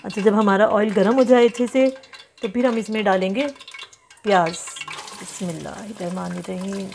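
A woman hosting a home cooking tutorial, chatting through each step and reading out ingredient amounts slowly, often repeating them. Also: medium pitch, fairly even intonation, gravelly, noisy background. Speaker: cooking channel host. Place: home kitchen.

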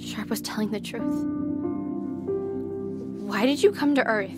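An actress playing a stubborn, self-doubting young starship ensign in an audio drama, with a casual, blunt, questioning way of talking. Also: female voice, a little high, measured pace, loud music behind the voice, close to an everyday voice. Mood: stunned, questioning.

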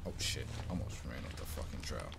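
A man's voice: brisk, heavy background noise. A man giving casual, slangy, profane commentary while playing a video game, reacting to what happens.

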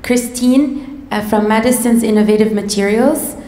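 A woman hosting an event, reading a measured scripted introduction from a laptop at a podium with a handheld mic. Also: fairly high pitch, dark tone. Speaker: event host. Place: large auditorium stage. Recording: echoey hall with long reverb.

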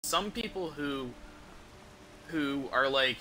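A man in his thirties giving casual, sweary, rambling and halting commentary as he streams a game. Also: medium pitch, sharp tone, a little hiss or hum, poor audio. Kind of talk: casual livestream gameplay commentary. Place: small room at home, indoors. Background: game music.

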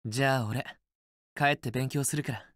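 A man's voice: medium pitch, steady tone, moderate pace, no room sound, natural voice. A man playing a possessive, teasing young student boyfriend in a romance game, with an intimate, assertive, coaxing delivery. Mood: caring, casual. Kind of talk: Japanese otome game voice acting, intimate romantic dialogue.

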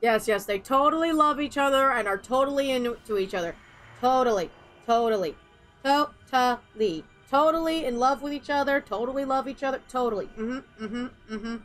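A woman's voice: sits high, moderate pace, a bit bright, soft background music, poor audio. A woman streaming a game and ranting sarcastically with jokes about the plot. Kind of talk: sarcastic gameplay commentary.

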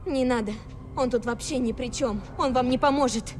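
A woman's voice: high voice, normal speed, darkish tone, lightly acted. An actress voicing a reckless, determined young female street racer, urgent and defiant, in a dubbed video game. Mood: worried, pleading. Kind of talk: dramatic video game dub acting. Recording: game music over the voice.

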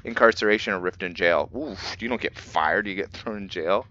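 A man giving casual video game commentary as a roleplay host, halting as he thinks aloud. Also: low voice, pushed and bright, dull audio. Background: game music.

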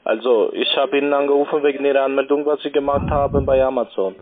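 A man's voice: medium pitch, fairly even intonation, fast talker, crowd noise. A man with a foreign accent, not a native German speaker, insistent on a phone call in broken grammar. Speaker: phone scam caller. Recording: noisy tinny phone line.

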